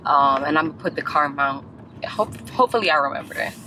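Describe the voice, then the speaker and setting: A woman's voice: low voice, expressive, quick pace. Chatty young New woman vlogging. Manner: chatty, laughing, addresses viewers as y'all. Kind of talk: casual vlog chat. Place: inside a parked and moving car. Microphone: handheld camera at arm's length. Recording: noisy car interior.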